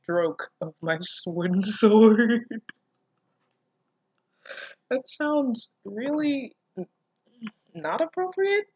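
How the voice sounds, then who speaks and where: A woman's voice: on the high side, animated, very slow, long pauses, a little mellow, breathy edge, no room sound, rough recording. A woman reading fan fiction aloud and reacting casually, with an incredulous, self-deprecating manner and free swearing. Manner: giggly, breaking off to comment. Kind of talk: casual reaction commentary mixed with read-aloud.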